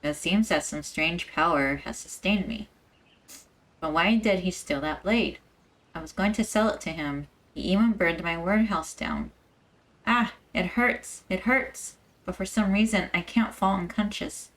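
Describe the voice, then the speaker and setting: A woman's voice: on the low side, unhurried, voice pushed down, poor audio. A woman voicing a wounded, remorseful man reading out his last letter from a video game, subdued and weary. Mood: pained, bitter, then suffering.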